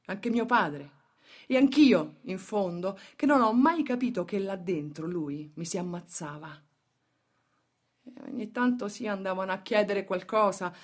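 An actress playing a worker's bitter, plain-spoken daughter giving grave testimony in a radio theatre monologue. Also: female voice, mid-range voice.

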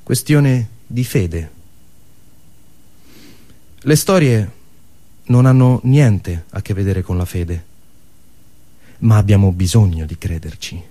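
A man delivering a theatrical storytelling monologue, posing rhetorical questions and using deliberate dramatic pauses. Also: on the low side, warm tone. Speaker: monologue performer.

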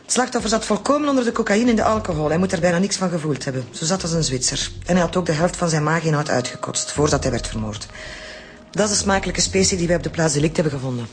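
Brisk, blunt Flemish forensic doctor played by a woman. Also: lowish voice, lively intonation, natural voice. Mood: wry. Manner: blunt, wry, chatty. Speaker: actor in radio drama. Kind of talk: naturalistic radio drama acting.

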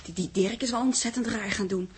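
A woman playing a curious, lightly teasing assistant with a husky voice in a 1960s radio drama. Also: mid-range voice, fairly quick, a little hiss or hum, close to an everyday voice. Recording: old 1960s radio recording.

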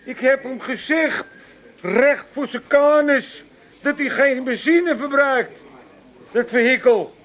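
A man's voice: extremely high pitch, takes it slowly, a bit bright, exaggerated voice. An actor playing a crafty, haggling old father with an Amsterdam accent in a radio comedy. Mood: cheeky, unrepentant. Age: older. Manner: wily, haggling, feigning innocence.

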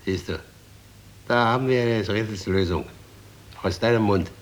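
A man's voice: fairly low pitch, normal speed, natural voice. A man playing a sly, taunting minstrel in a German radio drama, telling tales poetically and with mocking questions. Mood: darkly incantatory. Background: plucked guitar-like string music.

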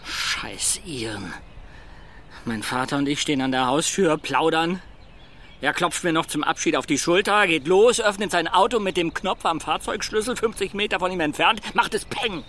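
An actor playing a bitter, resentful soldier's son in a radio crime drama, curt, scornful and aggrieved. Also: male voice, on the high side, normal speed, pushed and bright, a little hiss or hum. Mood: irritated.

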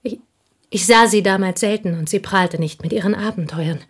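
An actress in a German radio play, playing an intense, embittered provincial Frenchwoman making a fervent, halting confession in a husky, breathy voice. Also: medium pitch, close to an everyday voice. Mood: bitter, intense. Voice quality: husky, breathy, dropping into whispers. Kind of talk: German radio play acting, dramatic monologue.

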